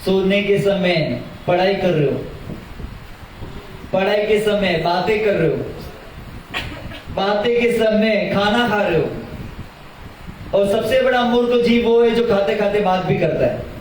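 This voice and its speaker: Young guy preaching, high voice, keeps asking stuff and repeating himself, far off mic in a super echoey hall. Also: takes it slowly, long pauses, slight background noise. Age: young adult. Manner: lively, questioning, repeats phrases for emphasis. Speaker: religious teacher. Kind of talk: religious discourse to an audience. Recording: very echoey hall, heard from afar.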